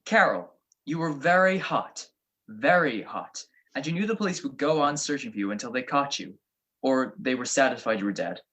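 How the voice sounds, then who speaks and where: Man playing a witty, animated radio detective. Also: medium pitch, normal speed, natural voice. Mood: cool, confident. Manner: wry, explaining deductions with cool confidence. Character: witty, self-assured male private detective. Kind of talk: old-time radio detective acting.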